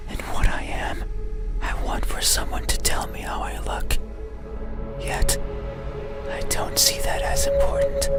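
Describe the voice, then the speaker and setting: A man voicing an ancient, lonely monster with melancholy, eerie politeness in a breathy ASMR horror whisper. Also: natural voice. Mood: brooding, intense. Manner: slow, deliberate, intimate, halting phrases. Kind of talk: scripted ASMR horror roleplay monologue. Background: eerie music.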